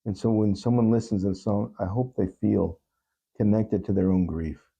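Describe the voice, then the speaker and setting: A man speaking as an interview guest, giving earnest, thoughtful answers in a halting, reflective way. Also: lowish voice, steady tone, vocal fry, mellow, husky. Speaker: talk show guest.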